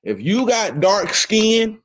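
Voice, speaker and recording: A man's voice: high voice, very animated, slow pace. A man hosting a casual group debate, talking in an emphatic, lecturing way and often checking in with "right?" and "okay?".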